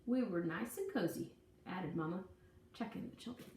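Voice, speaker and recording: Animated woman reading a children's storybook aloud. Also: medium pitch, normal speed. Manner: folksy, dramatic storytelling delivery. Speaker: storybook reader. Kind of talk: expressive read-aloud of a children's picture book. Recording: echoey room, distant mic, faint noise.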